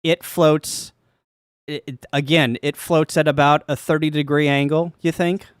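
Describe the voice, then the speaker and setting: A man with a slightly higher-pitched voice and a dry, close sound hosts a casual interview. He feels his way through questions, restarting phrases and confirming details with short acknowledgements. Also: level tone, smooth, dry recording. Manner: attentive, halting questions with frequent short acknowledgements. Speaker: interviewer, live stream host.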